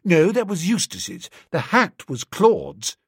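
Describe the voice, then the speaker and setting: Amiable young English toff, done by a male narrator: sheepish, bumbling, chatty, swooping pitch, husky, storybook comedy. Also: wildly swooping pitch, takes it slowly, no echo, studio quality, exaggerated voice. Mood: mildly dismayed. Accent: upper-class English. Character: mild, sheepish young English lord, amiable silly student.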